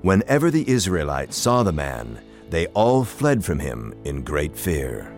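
A man narrates scripture as scripted drama. His voice is deep, with widely varied intonation. Music plays clearly behind him. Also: huge pitch swings, unhurried, a little mellow.

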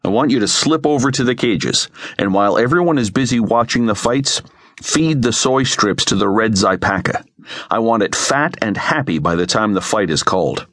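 Man playing a sly, assured squad leader, lively intonation. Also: on the low side, moderate pace, dry recording, studio quality. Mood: scheming. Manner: assured, wry. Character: confident, cool male special-operations leader, sly.

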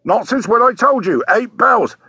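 A man's voice: extremely high pitch, lively intonation, normal speed, creaky, bright, forceful tone, breathy edge, no echo, voice pushed up, exaggerated voice. A man voicing a stubborn merchant sailor on watch, with insistent, ungrammatical working speech in an audiobook. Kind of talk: audiobook character voice.

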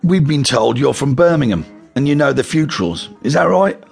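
A man's voice: mid-range voice, very animated, normal speed, gravelly, a little mellow, faint music behind, voice pushed up. A man voicing a cold, probing male gangster with a coarse, swearing manner, in a storybook reading.

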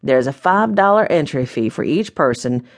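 Woman voicing a low, folksy businessman. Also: lively intonation, normal speed. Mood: welcoming, informative. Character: friendly businesslike man, owner of gem mine. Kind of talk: children's audiobook character voice.

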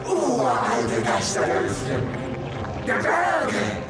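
An actress playing a monstrous, imperious ancient snake goddess in a German horror radio play, declaiming theatrically. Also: female voice, extremely low pitch, wildly swooping pitch, drawn-out words, exaggerated voice. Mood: grandly ominous. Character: monstrous snake-bodied goddess, ancient, commanding, doom-preaching. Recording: heavy echo, distant, loud music behind.

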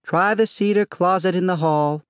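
A man voicing a calm, teasing middle-aged wife with a serene, coolly wry manner. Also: on the high side, a little slow, no room sound, clean studio sound, pitched above the performer's own voice.

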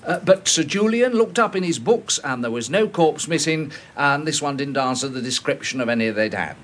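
A man's voice: a little high, animated, moderate pace, fairly bright tone, a little hiss or hum. An actor playing a timid, fussy, deferential Englishman in a radio drama, stammering and obsequious, with an English accent. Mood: nervous, deferential. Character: timid, deferential, fussy little lower-middle-class Englishman. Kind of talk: full-cast BBC radio drama acting.

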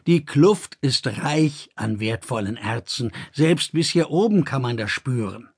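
Ein besorgter, vorsichtiger älterer Gefährte, von einem männlichen Sprecher gesprochen, der langsam und mahnend redet. Die Stimme ist leicht rau und zögerlich. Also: male voice, medium pitch, expressive, slightly rough. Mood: warning. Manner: slow, hesitant, admonishing. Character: older, cautious man, worried and weaker.

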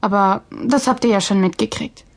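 A woman's voice: medium pitch, moderate pace, natural voice. A woman playing a breezy, bossy young girl in a children's audio drama, talking in a colloquial way. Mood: chatty. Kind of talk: German children's audio drama acting and narration.

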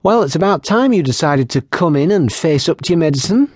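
A brisk, teasing young barmaid healer, as a man puts her on in an audiobook. The voice is raised high and stays husky. She scolds quickly in a lively way. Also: no echo, clean studio sound.

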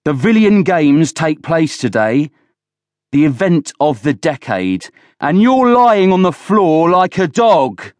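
A man's voice: a little high, big pitch swings, slow, a little mellow, no echo, pitched above the performer's own voice. A menacing drunken thug leader in an audiobook. He roars and sneers with open contempt, his voice pushed well above the reader's own, in a close, dry recording. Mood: contemptuous anger. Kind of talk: dramatised audiobook character voice.

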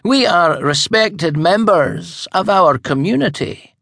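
Man doing a haughty high-voiced lady witch. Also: animated, takes it slowly, creaky, fairly bright tone, no room sound, clean studio sound, heavily acted. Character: haughty, proper lady witch, chief of her coven.

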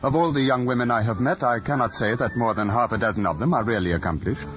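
An actor playing a proud, aloof young gentleman in a period radio drama, speaking stiffly and formally. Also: mid-range voice, fast talker, lightly acted. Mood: stiff, disdainful. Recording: dull radio recording with music behind.

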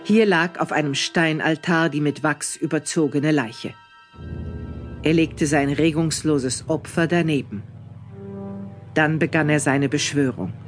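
A man with a fairly high voice reads scripted narration for a horror radio play. Faint music plays behind him. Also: fairly even intonation, measured pace.

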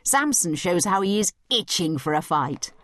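Female educational narrator, scripted commentary. Expressive range, explanatory manner, dry studio-close sound. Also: on the low side, moderate pace, no echo. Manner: explanatory, clearly spelling out points. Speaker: study guide narrator and commentator.

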